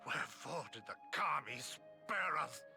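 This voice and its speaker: Noble young lord from a game, slightly high sharp voice, solemn and formal, soft music behind. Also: male voice, animated, unhurried, creaky. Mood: sorrowful, grim. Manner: solemn, formal archaic speech. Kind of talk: video game cutscene acting.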